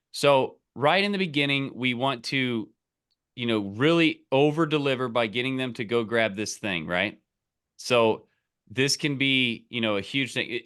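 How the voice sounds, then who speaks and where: Bright, punchy male business coach. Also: mid-range voice, clear, resonant tone. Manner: emphatic, direct, salesy, often checking with "okay?" and "right?". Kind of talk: casual coaching, explaining and giving example scripts.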